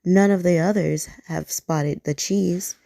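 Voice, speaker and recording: Vain lonely storybook crow, read by a woman, low, creaky, half-whispered to herself. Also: fairly even intonation, slow, warm tone, dry recording, studio quality. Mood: proud. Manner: wistful, then defiant and boastful. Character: proud lonely female crow, easily flattered.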